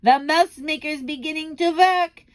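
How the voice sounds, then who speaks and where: A woman voicing an imperious, cruel female witch leader who shrieks and barks out commands. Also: a little slow. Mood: shrieking. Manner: shrill, barking commands.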